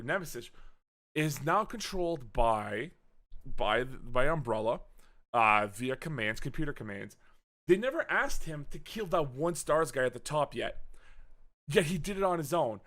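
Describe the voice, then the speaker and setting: A man hosting a podcast, rambling through a profane, casual movie recap. He swings from loud outbursts to muttering, and his voice is wearing out. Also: mid-range voice. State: losing his voice. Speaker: podcast host.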